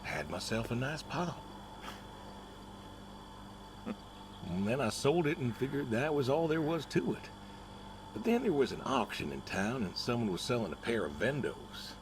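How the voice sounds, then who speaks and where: An actor playing a weathered, stubborn older pig farmer in a video game, with a wry, folksy, hesitant way of telling things. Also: male voice, mid-range voice, big pitch swings, background noise, natural voice. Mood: wistful, wry. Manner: folksy, hesitant, unhurried storytelling. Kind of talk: naturalistic video game acting.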